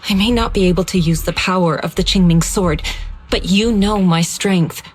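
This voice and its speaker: A formal, earnest martial master. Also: female voice, on the low side, normal speed, breathy edge. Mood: pleading. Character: stern, protective woman martial master, devoted to her pupil. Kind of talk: video game voice acting.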